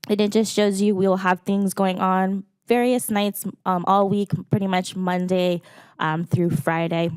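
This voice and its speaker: A woman's voice: medium pitch, fairly even intonation, measured pace, smooth, no room sound. A woman library supervisor with a scratchy voice, giving a report at a public meeting with frequent ums.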